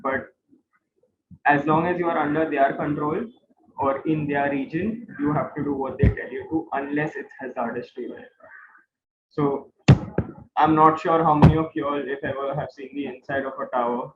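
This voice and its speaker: A man's voice: on the high side, fairly even intonation, moderate pace, very noisy. A man with an Indian accent giving an informal explanatory lecture to students, repeating phrases for emphasis. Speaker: instructor, guide. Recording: roomy, tinny phone-like online class audio.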